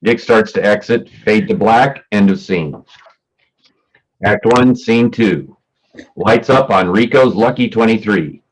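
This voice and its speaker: A man's voice: fairly low pitch, poor audio. A man narrating scripted stage directions for a play reading.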